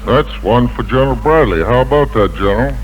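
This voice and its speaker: Army colonel on faintly noisy 1940s radio. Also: male voice, mid-range voice, expressive, fairly quick. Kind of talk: formal scripted radio interview answers.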